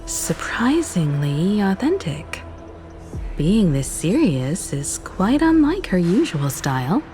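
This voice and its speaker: Composed grown-up game lady, kinda low, unhurried, wry but expressive pitch. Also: soft background music. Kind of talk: video game voice acting.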